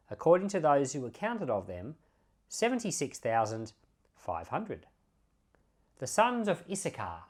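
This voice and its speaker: A man reading the Bible aloud as a pastor, in measured phrases with emphatic openings. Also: mid-range voice.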